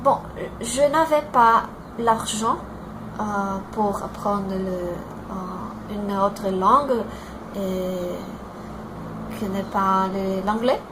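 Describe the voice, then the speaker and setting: A Brazilian woman in her twenties with a Brazilian Portuguese accent, introducing herself in a learned language and pausing often to search for words. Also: mid-range voice, background noise. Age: 20s. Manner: halting, searching for words, many fillers and restarts.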